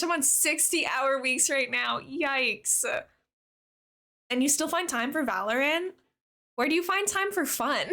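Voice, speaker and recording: Bright, bubbly young woman livestreamer. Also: sits high. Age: young adult. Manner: bubbly, chatty. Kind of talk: casual livestream chat with viewers. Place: live game stream.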